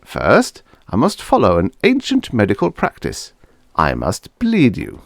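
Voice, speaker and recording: A man's voice: medium pitch, huge pitch swings, unhurried, vocal fry, no echo, clean studio sound, voice pushed up, heavily acted. Man putting on a fussy, patronizing old mad doctor. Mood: grandly boastful. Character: shifty, chiding older male mad doctor. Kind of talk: vintage science fiction audiobook character.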